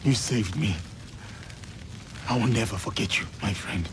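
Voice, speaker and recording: An actor playing a loyal, grieving samurai in a video game, earnest and restrained. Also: male voice, lowish voice, measured pace, a bit bright, slight background noise. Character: loyal, grieving samurai retainer, strong man. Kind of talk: dramatic video game cutscene acting.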